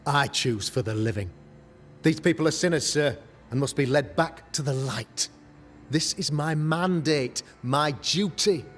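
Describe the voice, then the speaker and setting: A man's voice: on the high side, a little slow, fairly bright tone. An actor playing a pompous, self-righteous colonial governor who sermonizes grandly over his townsfolk. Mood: stern. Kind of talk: video game cutscene acting. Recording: long reverb under game music.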